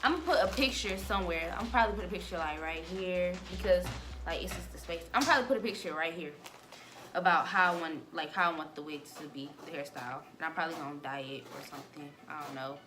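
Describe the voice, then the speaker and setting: A woman's voice: low voice, quick pace, noisy background. A young American woman chatting casually to camera as a vlogger, in a loose, slangy, rambling way. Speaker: vlogger giving a wig-making tutorial.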